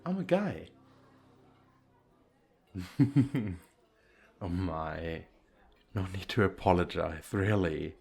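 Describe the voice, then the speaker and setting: Playful femboy flirt played by a man for ASMR roleplay: expressive swooping pitch, slow pace, coy, effeminate, teasing. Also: creaky, husky, exaggerated voice. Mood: friendly, reassuring. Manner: lilting, effeminate, giggly, coy.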